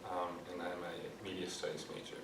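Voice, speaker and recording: Man in his 20s, from Wisconsin, very deep, monotone, easygoing, distant echoey mic. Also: fairly quick, creaky, noisy background. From rural Wisconsin. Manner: easygoing, plain-spoken. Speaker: student guest. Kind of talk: casual interview answers.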